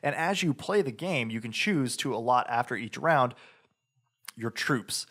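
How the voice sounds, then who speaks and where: A man's voice: mid-range voice, lively intonation, measured pace, fairly bright tone. A man co-hosting a podcast, reviewing a game in casual chat, talking in a rambling way with lots of fillers and restarts. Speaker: podcast co-host.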